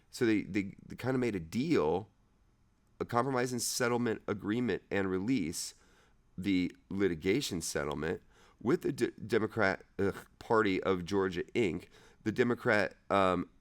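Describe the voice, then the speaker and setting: A man reading legal text aloud haltingly, rereading and correcting himself, with irreverent, offhand commentary of his own. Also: sits low. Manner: irreverent, sarcastic asides. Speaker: amateur reader of a legal document.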